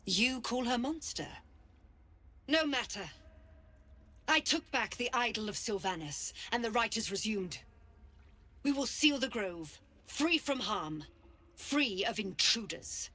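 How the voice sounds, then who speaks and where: Forceful, imperious druid leader. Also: female voice, fairly high pitch, expressive, exaggerated voice. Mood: scornful. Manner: imperious, deliberate, solemn. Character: stern zealous woman druid leader, menacing and proud. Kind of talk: dramatic video game acting.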